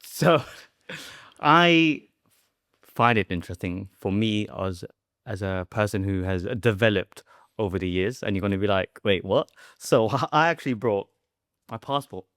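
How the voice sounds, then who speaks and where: Young man with a smooth voice. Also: on the low side, measured pace, fairly bright tone, no echo, clean studio sound. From West London, born in the Philippines. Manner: informal and rambling, many "like" and "um" fillers. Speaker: podcast guest, food creator. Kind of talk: casual interview chat.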